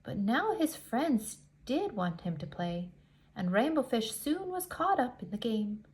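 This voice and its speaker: A woman reading a picture book aloud to young children in an expressive way, with deliberate dramatic emphasis. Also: on the high side.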